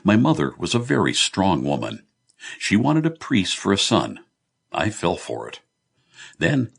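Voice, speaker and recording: A man's voice: low voice, creaky, breathy edge, pitched above the performer's own voice, full character voice. A man voicing a good-humoured, blunt middle-aged Irish businessman in an audiobook, breezy, teasing and confiding.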